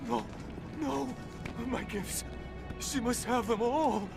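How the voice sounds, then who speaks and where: An actor playing a desperate, fearful male slave in a video game, pleading and anxious, with halting speech. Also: extremely high pitch, full character voice. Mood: anxious muttering. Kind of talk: video game cutscene acting. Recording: clear music behind the voice.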